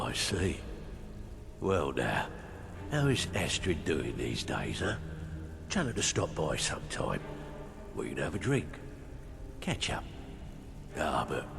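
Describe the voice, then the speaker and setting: Casting: sly guild rogue, fantasy game. Gravelly, teasing drawl, very animated intonation. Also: male voice, a bass voice, takes it slowly, pauses often, over music, exaggerated voice. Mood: sly, familiar. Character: sly, gruff male thieves' guild fence, smugly familiar. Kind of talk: fantasy video game dialogue.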